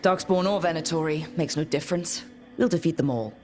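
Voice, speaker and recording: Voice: medium pitch, fairly quick, darkish tone, natural voice. An actor as a young fantasy game hero with a mid-range voice. The delivery is direct, determined and helpful, over faint music. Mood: resolute. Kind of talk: dramatic video game acting.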